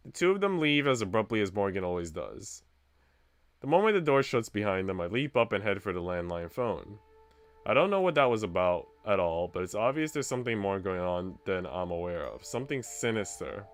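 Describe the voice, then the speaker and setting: A man's voice: lowish voice, sing-song, brisk, no echo, soft background music. A man streaming a visual novel, reading its narration aloud and chatting with viewers.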